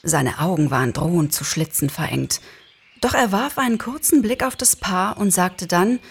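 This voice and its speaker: Husky woman reading a story. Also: lowish voice, lively intonation, normal speed. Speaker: narrator. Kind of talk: expressive scripted story reading.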